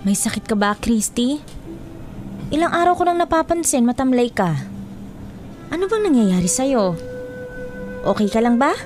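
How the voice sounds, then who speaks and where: A woman's voice: on the high side, very animated, moderate pace, long pauses, over music. A woman playing a caring, concerned aunt in a Filipino radio drama. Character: concerned, caring aunt advising her niece.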